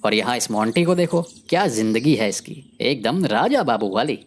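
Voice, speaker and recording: A woman voicing a cocky, bossy village man in an animated story, speaking in an emphatic, colloquial, scolding way. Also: extremely low pitch, normal speed, a little hiss or hum. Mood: scheming. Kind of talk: animated Hindi moral story dialogue. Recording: music behind the voice.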